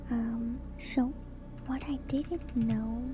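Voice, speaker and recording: A woman streamer speaking in a soft, breathy ASMR whisper, gentle and musing as she reads lore and comments casually, softly repeating phrases. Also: fairly high pitch, fairly even intonation, extremely slow, over music. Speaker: streamer and host.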